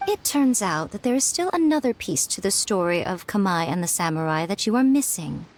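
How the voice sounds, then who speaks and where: A woman voicing a wise, playful, centuries-old fox spirit lady in an anime game, teasing and measured in manner. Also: mid-range voice, big pitch swings, slight background noise, lightly acted.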